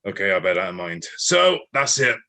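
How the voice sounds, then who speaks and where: A man's voice: fairly low pitch, big pitch swings, brisk, pushed and bright. A man hosting a casual interview podcast, dry and exasperated with his guest. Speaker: podcast host.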